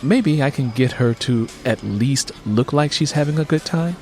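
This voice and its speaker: A man's voice: mid-range voice, moderate pace, a little mellow, soft background music, close to an everyday voice. A man voicing an affectionate, outspoken wife and mother in an audiobook story. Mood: warm, affectionate.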